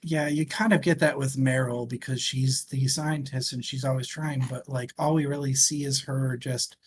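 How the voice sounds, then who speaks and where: A man's voice: mid-range voice, fairly even intonation, moderate pace, noisy background. A man co-hosting a casual, conversational book discussion. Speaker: book podcast co-host.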